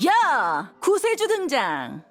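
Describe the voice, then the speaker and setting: Casting: spirited young rescuer in a game, high voice, swooping expressive delivery, self-assured and playful. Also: female voice, extremely slow, soft background music, heavily acted. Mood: playful boasting. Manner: playful, animated. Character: lively, confident young female rescuer. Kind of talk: animated game voice acting.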